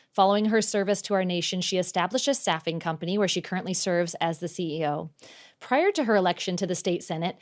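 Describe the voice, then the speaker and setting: A woman hosting a political interview show, reading an upbeat intro and asking her guest questions with friendly praise. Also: medium pitch. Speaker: podcast host.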